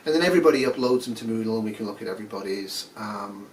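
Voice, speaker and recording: A man's voice: fairly low pitch, lively intonation, rapid-fire, far from the mic, low-quality recording. Fifties male instructor, blunt and dry, sarcastic asides, a bit off-mic with faint noise behind. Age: 50s. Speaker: nursing instructor. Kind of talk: recorded course announcement.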